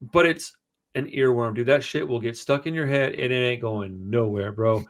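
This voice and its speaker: A man with a booming voice co-hosting a casual music review show, talking in an animated, slangy, rambling way. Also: moderate pace. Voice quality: booming, projecting voice. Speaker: podcast co-host.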